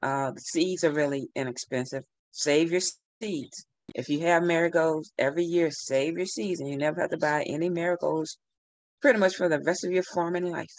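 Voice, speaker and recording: A middle-aged woman giving relaxed, repetitive gardening advice over a video call from a room at home. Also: low voice, moderate pace, no room sound, poor audio. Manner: relaxed, advising, repeats herself. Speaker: gardening speaker. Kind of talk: casual online talk. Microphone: video call webcam.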